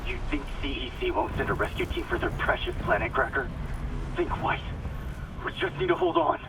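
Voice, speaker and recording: A man's voice: mid-range voice, huge pitch swings, brisk, distant mic, background noise. A man playing a commanding but alarmed ship's second officer in a sci-fi game. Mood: urgent, stern. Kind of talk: dramatic sci-fi game acting.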